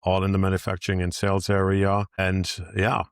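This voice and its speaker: A man's voice: deep voice, completely flat delivery, brisk, dry recording, clean studio sound. A middle-aged man from northern Germany with a German accent, answering interview questions earnestly and upbeat. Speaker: guest, managing director. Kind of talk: in-person interview.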